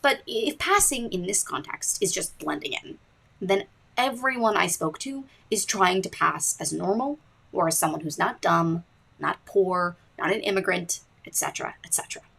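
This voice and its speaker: A woman hosting a podcast, narrating in a wry, deadpan scripted way. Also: on the low side, moderate pace, gravelly, husky, rough recording. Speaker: podcast host. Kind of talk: scripted narration with dry asides.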